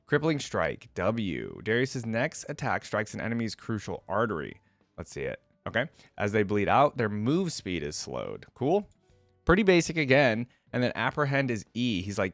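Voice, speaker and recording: A man with a fairly low voice speaks casually as a reaction host. His delivery is informal and conversational, like a gamer talking through what is on screen. The sound is dry and close, with very little room. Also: no room sound. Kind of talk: casual commentary and reading aloud.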